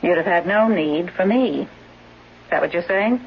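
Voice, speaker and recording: An actress playing a scheming caregiver and secret lover in a 1970s radio drama, brisk, sardonic and hard-edged. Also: female voice, lowish voice, lively intonation. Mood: hard, resentful. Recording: dull, noisy, humming vintage radio recording.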